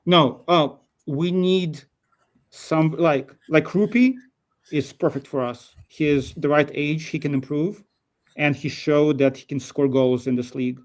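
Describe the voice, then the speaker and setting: Blunt male pundit on a football panel, slightly raised pitch, dismissive. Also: unhurried. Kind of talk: casual football chat.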